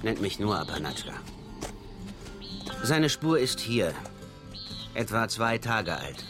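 A man's voice: fairly low pitch, sing-song, takes it slowly, over music. An actor playing a reserved male frontier scout of about forty in a German radio drama, laconic and matter-of-fact. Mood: terse, businesslike.

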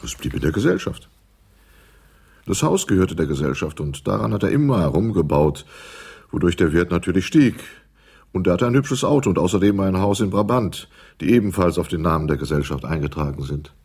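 Smooth, evasive accountant for radio crime play: low husky voice, deliberate hedging delivery. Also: male voice, expressive, darkish tone, full character voice. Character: evasive, smooth male accountant explaining dodgy finances. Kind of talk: 1980s German radio crime drama dialogue.

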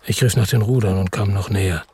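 An actor playing a weary hard-boiled man who narrates his own crime story in a radio drama, terse, brooding and husky. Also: lowish voice, quick pace, mellow. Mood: grim, focused.

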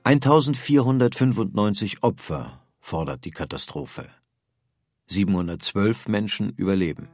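A man's voice: lowish voice, lively intonation, moderate pace, no echo, faint music behind. A man reading scripted narration for an audio history documentary.

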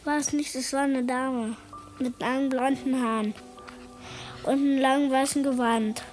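A woman's voice: high voice, steady tone, normal speed, darkish tone, soft background music, close to an everyday voice, low-quality recording. A woman voicing a small, earnest, plaintive boy in a radio play. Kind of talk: German radio play acting.

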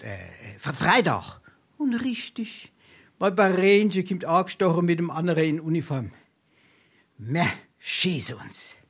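A man's voice: a little high, a bit bright, husky, full character voice. A male actor with a Darmstadt Hessian accent playing a wily, boastful penniless scrounger in a dialect stage comedy, theatrical and full of dramatic hesitation. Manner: theatrical, braggartly, hesitating for effect.

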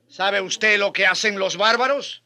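A man's voice: high voice, slow pace, bright, forceful tone, exaggerated voice. A man playing a passionate, sardonic Spanish friar who argues with challenging questions in a radio drama. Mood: bitter, accusing. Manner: impassioned, challenging, mocking rhetorical questions.

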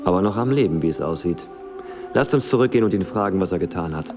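An actor playing a gloomy, skeptical knight with a dry, formal delivery in a literary radio drama. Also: male voice, low-pitched, animated, fast talker, over music.

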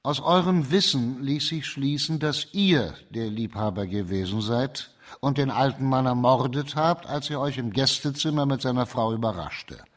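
A man acting as a shrewd, composed magistrate in a radio crime drama, laying out his case in measured, formal German radio-play style. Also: mid-range voice, expressive, close to an everyday voice. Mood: firm, deductive. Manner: measured, methodical, formal, explanatory.

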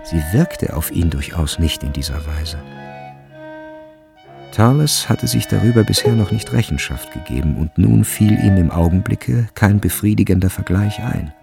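A man narrating a literary radio drama in a measured, deliberate way, with a gravelly voice. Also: sits low, expressive. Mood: measured, observant. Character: third-person narrator of a turn-of-the-century school story. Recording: studio with music behind. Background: instrumental music.

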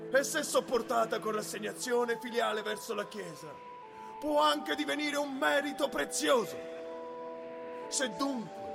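A woman's voice: on the high side, vocal fry. A woman acting a defiant preacher who solemnly declaims a thesis from the pulpit in a radio drama. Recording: loud music behind the voice.

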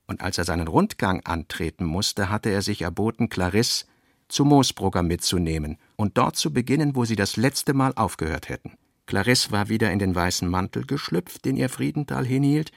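Male narrator, gravelly voice, measured literary reading, very dry studio sound. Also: fairly low pitch, no room sound. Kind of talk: scripted literary narration for radio play.

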